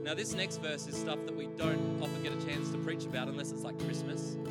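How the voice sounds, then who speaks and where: Chatty self-mocking pastor over guitar. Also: male voice, on the high side, fairly even intonation, a little fast, in a large hall. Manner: chatty, self-deprecating, joking about his own singing. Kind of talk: casual sermon introduction before a sung creed. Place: church sanctuary before a congregation. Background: acoustic guitar playing.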